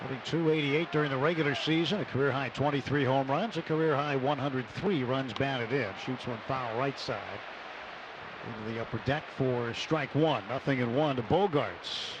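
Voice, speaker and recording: A man doing live baseball play-by-play on radio in measured phrases with clipped count calls. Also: medium pitch. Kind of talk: live radio sports commentary. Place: stadium broadcast booth. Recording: noisy live ballpark radio broadcast. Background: ballpark ambience.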